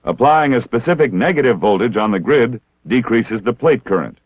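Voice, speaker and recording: American man, low voice, formal training-film narration, measured and precise, dry close sound, muffled 1960s film soundtrack. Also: animated, no echo. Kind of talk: scripted instructional narration.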